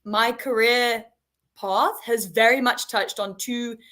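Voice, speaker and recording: A woman's voice: on the high side, steady tone, slow, pushed and bright. A young British woman from the UK, chatting in a friendly, storytelling way on a live stream as a marketing operations head. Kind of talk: casual live-stream chat.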